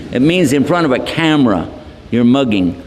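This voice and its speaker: A man's voice: mid-range voice, very animated, moderate pace, a little mellow, a little hiss or hum. A Texan man giving a humorous, folksy public lecture, telling stories with joking asides. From Texas. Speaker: Islamic lecturer. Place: large multipurpose hall. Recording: reverberant hall from a distance.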